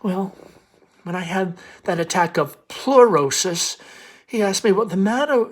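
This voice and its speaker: A man's voice: sits high, a little slow. A man voicing a shy, fragile, wistful young woman with a hesitant, apologetic manner in a stage play reading.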